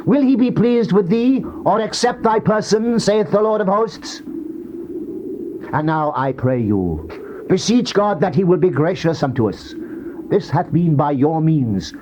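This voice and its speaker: High-voiced man declaiming scripture, dull tape. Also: lively intonation, measured pace, darkish tone. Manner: solemn, declamatory, theatrical. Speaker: scripture narrator. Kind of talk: dramatized King James Bible reading. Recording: dull, noisy old cassette tape.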